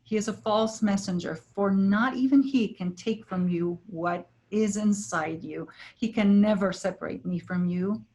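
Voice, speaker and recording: A woman playing a tender, resolute lost beloved in a staged play reading, earnest, gentle and deliberate. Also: medium pitch, close to an everyday voice, rough recording.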